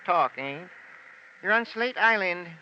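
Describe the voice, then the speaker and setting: A man's voice: high voice, lively intonation, slow pace. An actor playing a greedy, folksy male islander with ungrammatical speech in a 1950s radio drama. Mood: grudging. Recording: dull old radio recording with faint hiss.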